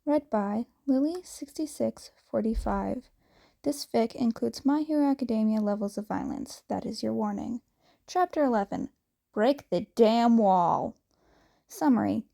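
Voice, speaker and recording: Slow, mellow-voiced woman reading a fanfic. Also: animated, creaky. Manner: slow, halting reading with restarts; voices characters by raising her pitch. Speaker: fanfiction reader.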